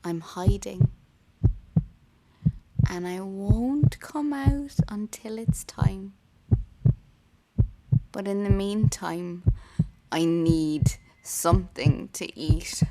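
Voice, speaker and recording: An Irish actress playing an unhinged, haunted young woman confiding in her diary in a horror audio drama, halting and often slipping into whispers. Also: medium pitch, noisy background. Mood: menacing, hushed. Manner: slow, halting, breathy whispers breaking into intensity.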